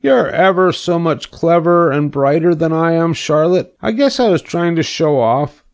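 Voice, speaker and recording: A man voicing an eager, boastful young male pig in a storybook read-aloud, with excited exclamations. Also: on the high side, moderate pace, pitched above the performer's own voice, natural voice.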